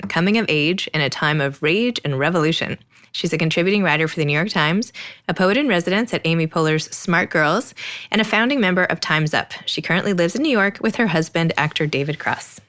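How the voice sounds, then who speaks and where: A woman in her forties with a slightly low voice. She hosts a podcast, reading introductions and chatting casually as an interviewer. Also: fairly bright tone. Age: 40s.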